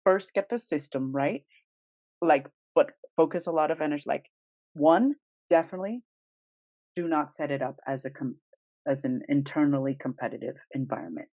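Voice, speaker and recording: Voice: medium pitch, moderate pace, darkish tone, no echo. A podcast co-host talking casually and emphatically, with strong opinions and informal phrasing. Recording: dull, lo-fi dry recording.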